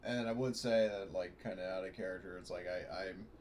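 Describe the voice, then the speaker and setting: Rambling male gamer, lower voice, noisy. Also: steady tone, fairly quick, darkish tone. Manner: rambling, hesitant, frequently self-correcting. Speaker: player in an online tabletop role-playing game. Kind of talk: casual in-character and out-of-character game talk. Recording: clearly noisy online voice chat.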